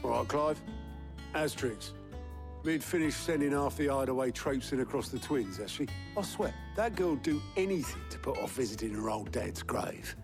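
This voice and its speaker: An actor playing a wry, exasperated man with a gravelly voice and a British accent, teasing and colloquial in a video game drama. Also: mid-range voice, lively intonation, moderate pace, music in the background, natural voice. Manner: colloquial, sardonic. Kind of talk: character voice acting in a video game.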